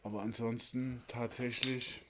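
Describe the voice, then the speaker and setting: A man's voice: mid-range voice, level tone, unhurried, heavy background noise. A man co-hosting a casual podcast while a bit under the weather with a cold, tossing in dry, teasing quips. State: has a cold. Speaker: podcast co-host. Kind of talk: casual chat.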